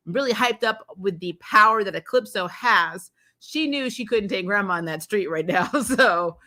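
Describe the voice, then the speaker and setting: A woman hosting a casual, unscripted review, retelling scenes in slangy, animated talk and acting out reactions. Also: mid-range voice. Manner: animated, exclaiming, acts out reactions, laughs often; informal slangy talk with frequent "like" and "you know". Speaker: host of a TV episode review show.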